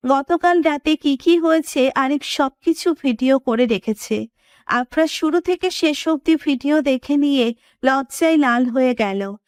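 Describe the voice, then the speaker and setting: Female narrator for scripted romance audio story, high voice, dry recording. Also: unhurried, no echo.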